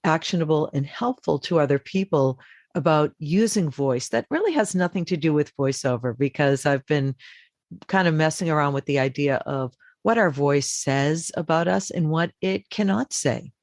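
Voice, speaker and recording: A woman from Boston, a voice actor, chatting as an interview guest with quick, animated restarts. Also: sits low, no echo, studio quality. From Boston, United States. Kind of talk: conversational interview.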